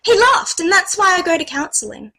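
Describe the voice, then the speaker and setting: A woman's voice: extremely high pitch, big pitch swings, brisk, pushed and bright, full character voice. A woman playing a brash, self-important and dubious talent agent in a comedic audio play, pompous and theatrical. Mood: defensive.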